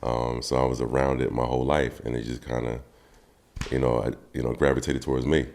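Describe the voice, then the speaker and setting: A young man with a remarkably deep, low voice speaks in a relaxed, friendly way. He chats casually as a guest, with plenty of "you know" and "um" between his thoughts. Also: brisk, vocal fry, a little hiss or hum. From Trenton, New Jersey. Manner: relaxed, easygoing, many fillers. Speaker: guest drummer and producer. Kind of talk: casual interview talk.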